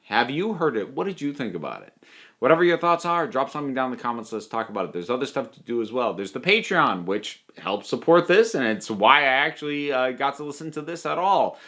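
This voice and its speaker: A man giving a casual spoken review as a video host, chatty and emphatic in an animated way. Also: medium pitch, fairly quick.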